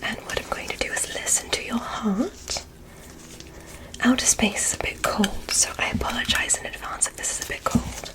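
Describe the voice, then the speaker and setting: A woman voicing a gentle, curious alien doctor in an ASMR medical roleplay, whispering soothing, softly formal instructions. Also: on the high side, animated, normal speed, close to an everyday voice, poor audio. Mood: soothing, clinical. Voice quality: breathy whisper.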